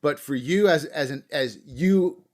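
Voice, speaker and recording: A man's voice: a little high, measured pace, a little mellow. An American man hosting a casual interview, with informal phrasing that halts and restarts as he builds his questions. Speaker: podcast host, interviewer.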